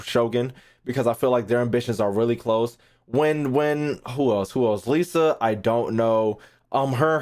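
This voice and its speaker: A man's voice: medium pitch, darkish tone. A man giving casual, slangy gaming commentary as a streamer, swearing freely and repeating phrases as he thinks aloud. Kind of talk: casual reaction commentary to a live chat.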